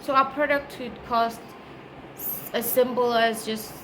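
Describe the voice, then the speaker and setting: A woman's voice: a little high, fairly even intonation, measured pace. A woman from Kenya with a Kenyan accent, chatting casually to camera as a travel vlogger and explaining things in a conversational way. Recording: clearly noisy background ambience.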